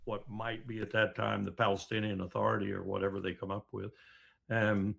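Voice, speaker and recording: A man's voice: lowish voice, flat delivery, normal speed, gravelly, crowd noise. An older man giving political commentary as an interview guest.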